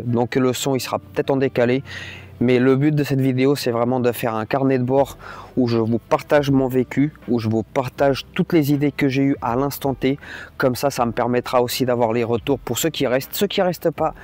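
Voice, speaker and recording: A man's voice: mid-range voice, a little mellow. Rambling, blunt Portuguese-speaking man vlogging. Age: adult, parent. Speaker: YouTuber, host. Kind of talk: casual unscripted vlog monologue.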